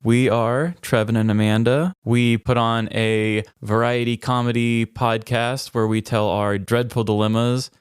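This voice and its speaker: A man's voice: mid-range voice, fairly even intonation, unhurried, hardly any pauses, clean tone, no room sound, studio quality. A man co-hosting a podcast in a casual, comedic chatting style. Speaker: podcast co-host.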